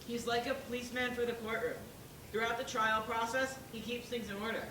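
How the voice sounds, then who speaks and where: A woman's voice: a little high, fairly even intonation, fairly quick, lightly acted. Woman as reasonable pig juror, questioning, stage play, distant from mic, echoey room. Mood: businesslike. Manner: questioning, argumentative. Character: reasonable pig juror, calmly doubting the wolf's guilt. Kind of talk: school stage play acting. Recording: roomy, distant, noisy stage recording.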